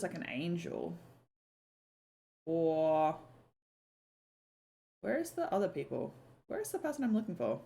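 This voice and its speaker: Young woman, live streamer, loose chatty delivery, a touch croaky, lively up-and-down pitch on a lowish voice. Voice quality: slightly croaky. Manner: chatty, lots of "like" and "um", swears casually. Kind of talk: casual chat on a live stream.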